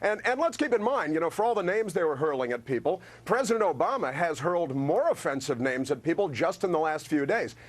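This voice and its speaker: Heated radio host guy, slightly high voice, on a panel. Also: expressive, brisk, hardly any pauses. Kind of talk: panel debate.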